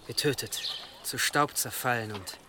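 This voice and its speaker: A man's voice: mid-range voice, a little slow, noisy background, close to an everyday voice. An actor playing a deferential, pragmatic adviser to a churchman in a medieval radio drama, formal and matter-of-fact. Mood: deferential, calm. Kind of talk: German radio drama acting. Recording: clear outdoor nature sounds behind.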